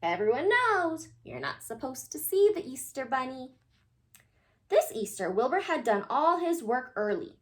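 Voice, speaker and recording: Lady reading a picture book to little kids, high voice, super expressive and swoopy. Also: measured pace. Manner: animated, expressive, exclaiming to young listeners. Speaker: storyteller reading aloud to children. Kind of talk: children's picture book read-aloud.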